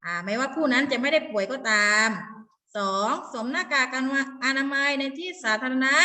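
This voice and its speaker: Echoey, bright-voiced woman teacher. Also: on the high side. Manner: encouraging, prompting with repeated simple questions. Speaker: young children's teacher. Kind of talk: interactive storytime lesson for young children. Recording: distant mic in echoey hall.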